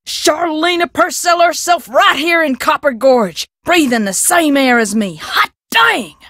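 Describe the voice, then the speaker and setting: A woman's voice: high voice, lively intonation, unhurried, a bit bright, no echo, studio quality, heavily acted. An actress voicing a folksy, sassy woman who keeps a candy shop in a video game, teasing and scolding in a rural American accent. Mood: brash, folksy. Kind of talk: video game character acting.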